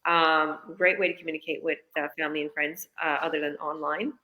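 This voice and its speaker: A woman's voice: deep voice, flat delivery, fairly quick, pushed and bright, poor audio. A woman business coach from British Columbia answering interview questions in a casual, chatty way, explaining eagerly. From British Columbia, Canada. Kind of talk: live online interview answers.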